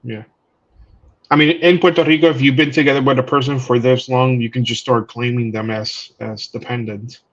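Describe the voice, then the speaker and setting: A man's voice: medium pitch, steady tone, normal speed, lo-fi sound. Laid-back man who stumbles over words. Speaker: live stream co-host. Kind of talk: casual chat on a live stream.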